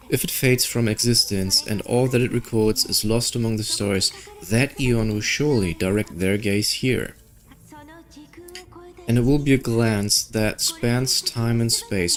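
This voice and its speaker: A man who streams games, reading story dialogue aloud and commenting casually with offhand, skeptical asides. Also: medium pitch. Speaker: gaming streamer. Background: game music.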